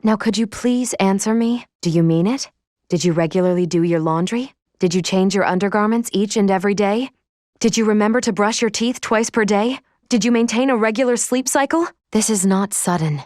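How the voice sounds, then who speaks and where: A woman's voice: mid-range voice, darkish tone, no echo, lightly acted. Woman voicing a formal, precise female android, dry studio sound. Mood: concerned, fussy. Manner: formal, precise, measured diction. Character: polite, analytical female android, caring and fussy. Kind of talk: video game voice acting.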